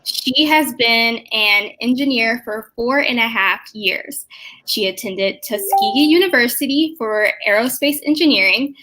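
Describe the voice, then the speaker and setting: A woman's voice: on the high side, bright, forceful tone, poor audio. A woman moderating an event, reading out formal speaker introductions.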